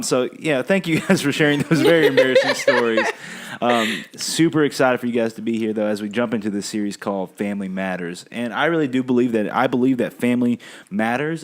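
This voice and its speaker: A young man speaking in a friendly, informal, encouraging way as a pastor hosting a family chat. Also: medium pitch. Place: family kitchen.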